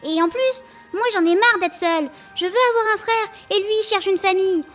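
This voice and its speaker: Une actrice joue une petite fille audacieuse et affectueuse, à la parole enfantine et décidée, dans une fiction audio. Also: female voice, very high-pitched, fairly quick, a bit bright, soft background music. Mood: earnest, pleading. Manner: childlike, cheeky, determined. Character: bold, warm-hearted little girl defending her adopted brother.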